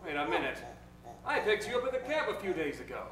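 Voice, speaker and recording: In an echoey radio comedy, a man plays a jittery, plain-spoken cab driver. The words come quickly with a swooping pitch. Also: big pitch swings, fairly bright tone. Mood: flustered. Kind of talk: comic old-time radio play acting. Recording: echoey stage, faint music.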